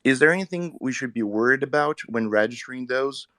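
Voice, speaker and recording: A man's voice: medium pitch, expressive, a little fast, fairly bright tone, no room sound, clean studio sound. A man whose first language is Portuguese, talking casually in an online audio chat and asking an earnest technical question. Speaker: Twitter Spaces speaker.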